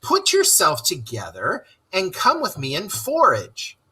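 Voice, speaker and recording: Practical, cheery storybook rat, put on by a reader, encouraging and brisk, forceful with a slight rasp. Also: mid-range voice, huge pitch swings, voice pushed up, exaggerated voice. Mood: calm, coaxing. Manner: brisk, upbeat, coaxing. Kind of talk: children's storybook read-aloud.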